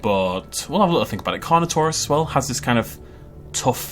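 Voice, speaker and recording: Guy, game commentary, chatty, playful, mid pitch, soft music behind. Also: expressive, fast talker. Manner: chatty, laughs often, playful asides. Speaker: game channel host. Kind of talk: casual gameplay commentary.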